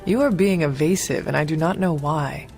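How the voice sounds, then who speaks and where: A woman playing a curious ancient warrior in a video game, speaking in a formal, literal, measured manner. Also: deep voice, a little mellow, faint music behind. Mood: puzzled, measured. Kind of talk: video game cutscene acting.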